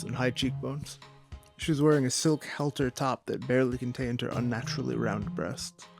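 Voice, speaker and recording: A man's voice: mid-range voice, creaky, darkish tone, close to an everyday voice. A man voicing a male author reading his own lurid prose like a dramatic, earnest audiobook.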